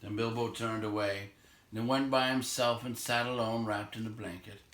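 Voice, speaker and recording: A man reading a novel aloud as expressive, measured storytelling narration. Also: on the low side, slight background noise. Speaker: amateur storybook reader. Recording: roomy, echoey home recording.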